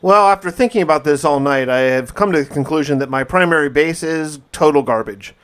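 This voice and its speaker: A man narrating gameplay as a dramatic first-person survival diary, in an emphatic, theatrical way with drawn-out words. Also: a little high, a bit bright, clean tone. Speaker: gaming video host.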